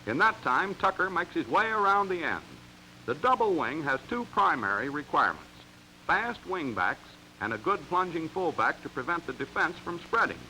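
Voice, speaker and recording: A man's voice: a little high, very animated, normal speed, sharp tone, a little hiss or hum, poor audio. An American man reading scripted narration for a 1940s sports training film in an emphatic, instructional way, on a vintage soundtrack. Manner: crisp, emphatic, instructional delivery.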